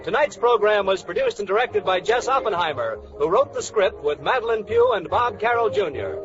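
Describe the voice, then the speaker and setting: An American man reading scripted closing credits as a 1940s radio announcer. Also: sits high. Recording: muffled old radio recording over music.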